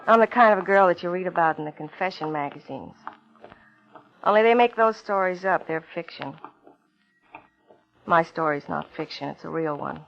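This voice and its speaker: A woman's voice: lowish voice, moderate pace, vocal fry, pauses often. An actress playing a bitter, world-weary young woman in an old radio drama, wry and vulnerable. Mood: wry, confessional. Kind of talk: 1950s radio drama acting. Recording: dull old radio recording, music underneath.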